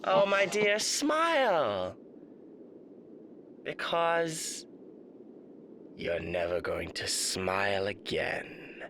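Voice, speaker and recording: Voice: medium pitch, wildly swooping pitch, extremely slow, long pauses, background noise, full character voice. An actor playing a smug, sadistic male radio demon in an ASMR roleplay, theatrical, mock-polite and taunting. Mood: gleeful cruelty.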